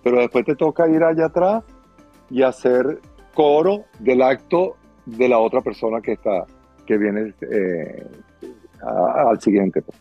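A man's voice: mid-range voice, unhurried, soft background music. Venezuelan man telling stories, muffled sound. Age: middle-aged. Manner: animated, acting out little dialogues. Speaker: guest, circus performer and dancer. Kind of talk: conversational storytelling in an interview.

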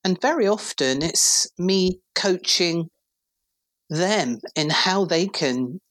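A woman in her fifties from England with a very deep voice, a PR director talking thoughtfully as a podcast guest. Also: lively intonation, slow, a bit bright. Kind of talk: relaxed conversational interview.

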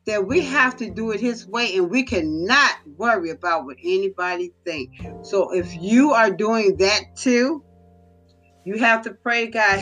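A woman delivers an informal sermon for her devotional podcast, earnest and exhorting, leaning on repetition to drive points home. Her voice is bright and forceful, though a little hoarse, set at a middle pitch. A soft guitar plays faintly underneath. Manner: earnest, exhorting, repeats phrases for emphasis. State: slightly hoarse, recovering voice. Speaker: podcast host giving devotional teaching.

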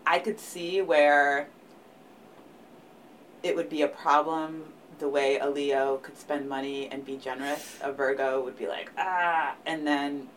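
A woman with a lowish voice talking through astrology in an easygoing co-host chat. She muses aloud, her sentences trailing off softly, and slips in playful little quoted asides. There is a faint hiss of room noise behind her. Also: distant mic, low-quality recording.

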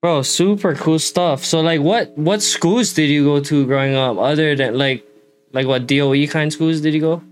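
A man's voice: fairly high pitch, moderate pace. A man hosting a casual interview, slangy and laid-back. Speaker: podcast host.

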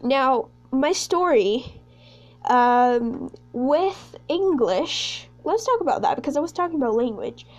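A woman's voice: high-pitched, lively intonation, slow pace, dull audio, faint music behind. A sixteen-year-old young woman from Brazil, a Portuguese speaker, chatting casually and ramblingly as a solo podcast host. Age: teens, sixteen. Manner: rambling, chatty, self-conscious.

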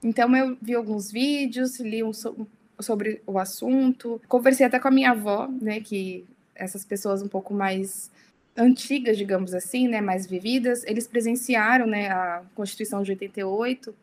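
A woman's voice: a little high, steady tone, normal speed, darkish tone, slight background noise. A young woman speaking as a podcast guest, talking casually and often tagging her sentences with little questions. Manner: chatty, many tag questions. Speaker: interview guest.

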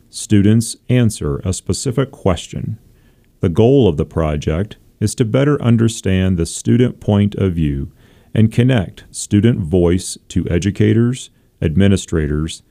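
Host intro: low, gravelly male principal, dark tone, formal read. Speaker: podcast host, school principal. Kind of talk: scripted introduction.

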